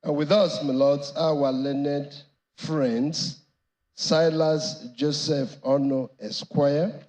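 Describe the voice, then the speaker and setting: A man with a Nigerian accent formally announcing appearances as counsel in court, measured and deferential. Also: a little high, fairly even intonation, darkish tone, poor audio. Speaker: lead counsel for petitioners in court.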